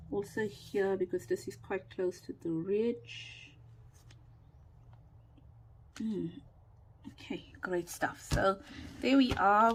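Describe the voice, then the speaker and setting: A woman still recovering from a lost voice talks her viewers through a stamping project at an unhurried, slow pace. Her speech is loose and chatty, full of ums and trailing thoughts, and she sometimes slips into a near whisper. A faint hiss sits under the recording. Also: medium pitch, poor audio. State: recovering from lost voice, sore throat. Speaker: craft vlogger. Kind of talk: casual crafting tutorial chat.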